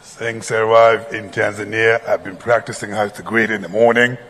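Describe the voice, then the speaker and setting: A man's voice: fairly low pitch, little pitch movement, moderate pace, fairly bright tone. A man from Senegal moderating a conference session, formal and courteous, improvising through schedule changes. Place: large conference hall. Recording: long hall reverb.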